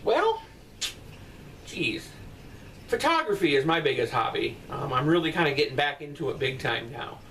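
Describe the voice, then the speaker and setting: Middle-aged father, blunt rambling talker, slightly raised pitch; distant-mic bedroom recording with faint noise. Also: sing-song, moderate pace, pauses often, bright, forceful tone. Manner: rambling, blunt, profane asides. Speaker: father answering viewer comments. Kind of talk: casual comment-response chat. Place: bedroom at night, indoors.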